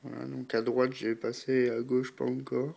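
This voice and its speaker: A man's voice: mid-range voice, little pitch movement, moderate pace, creaky, dark tone, a little hiss or hum. A man with a stuffy, blocked nose from a cold, unhurriedly talking through a painting tutorial and thinking aloud with many fillers. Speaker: miniature painting hobbyist.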